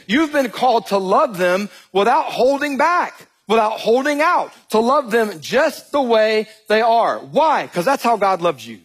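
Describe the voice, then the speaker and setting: A man from Georgia in his thirties with a Southern accent, a pastor preaching a sermon and telling animated, joking stories to the congregation. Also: high-pitched, husky. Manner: animated, jokey storytelling, addresses crowd as y'all. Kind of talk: sermon with storytelling.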